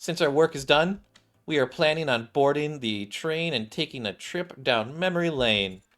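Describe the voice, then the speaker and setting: A man's voice: on the high side, expressive, moderate pace, fairly bright tone. A man reading video game dialogue aloud as a streamer, acting out parts and sometimes stumbling over lines and rereading them. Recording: music playing behind the voice.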